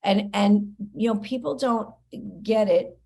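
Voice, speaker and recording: A woman's voice: medium pitch, measured pace, a little mellow, a little hiss or hum. A woman hosting an interview, asking long questions full of fillers and asides. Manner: rambling questions, frequent fillers. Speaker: interview host. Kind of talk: casual interview chat.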